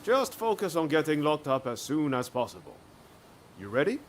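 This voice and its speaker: A man's voice: on the high side, big pitch swings, moderate pace, slight background noise. A man playing a breezy, cheeky rebel swordsman in a video game cutscene, casual and jaunty. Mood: playful scheming.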